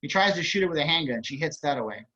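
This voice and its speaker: A man's voice: on the high side, animated, fast, bright, forceful tone. A man narrating stage directions at an online table read, with wry, casual asides. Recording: dull video-call audio.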